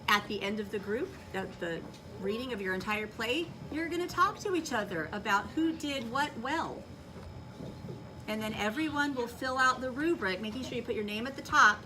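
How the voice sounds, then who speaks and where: A woman teaching young children in a classroom, giving clear directions and checking understanding with short questions. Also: on the high side, animated, normal speed. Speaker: elementary school teacher. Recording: distant mic in noisy classroom.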